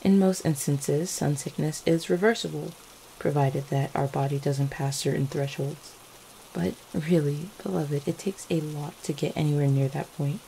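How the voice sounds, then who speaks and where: A gentle, devoted vampire girlfriend from an ASMR roleplay , acted by a woman. Her voice sits low and mellow, with a gravelly creak at the edges. She speaks tenderly and a little hesitantly, full of affection and reassurance. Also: normal speed, rough recording. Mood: gentle, explaining. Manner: soft-hearted, hesitant, tender. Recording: faint steady rain-like background noise. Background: rain falling.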